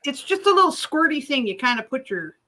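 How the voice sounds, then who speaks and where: A woman's voice: high-pitched, sing-song, fairly quick, a crowd in the background. A woman chatting casually as a panelist on a live stream.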